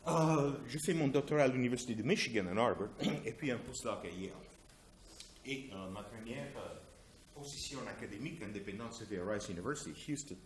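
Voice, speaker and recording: A man in his sixties, Italian-born and raised in the United States, explains his research as a chemistry professor, stopping often to search for words. His mid-pitched voice carries a heavy room echo. The mic sits well away from him, with a faint hiss underneath. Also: lively intonation. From Italy, raised in the United States. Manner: hesitant, explanatory, frequent filler pauses. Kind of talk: academic presentation. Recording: echoey room, distant mic, faint noise.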